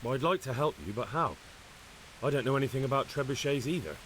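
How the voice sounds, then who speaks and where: A man's voice: mid-range voice, expressive, fast, fairly bright tone, natural voice, rough recording. A man playing an eager, plain-spoken young medieval man-at-arms in a game, direct and earnest. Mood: earnest, willing. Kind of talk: dramatic game cutscene acting. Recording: faint hiss and background noise.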